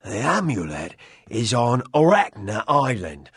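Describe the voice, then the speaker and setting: Game role: husky tipsy townsman, self-pitying, slow rambling delivery with swooping pitch. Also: wildly swooping pitch, fairly bright tone, dry recording, studio quality, exaggerated voice. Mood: amused, rambling. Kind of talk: video game character acting.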